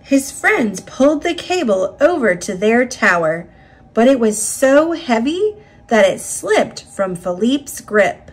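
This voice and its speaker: A woman reading a children's picture book aloud in a deliberate, dramatic storytelling manner. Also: on the high side, big pitch swings, slightly rough. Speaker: storybook reader.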